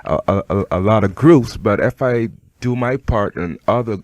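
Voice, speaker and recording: A man with a Barbadian-Canadian accent, a rap artist talking in a radio studio interview, animated and emphatic, with frequent restarts. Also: on the low side, moderate pace, no room sound. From Barbados, raised in Canada.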